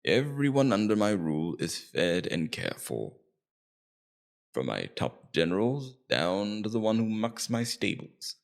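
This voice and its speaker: A man playing a scheming, immortal Demon King in an ASMR roleplay, taunting and persuasive, with theatrical, deliberate emphasis. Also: lowish voice, very animated, vocal fry, full character voice. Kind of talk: ASMR roleplay, scripted villain monologue.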